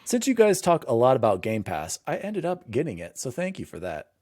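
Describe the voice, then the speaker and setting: A man hosting a casual gaming podcast, chatting with excitable, jokey emphasis. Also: mid-range voice, brisk, no echo, clean studio sound. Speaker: podcast host.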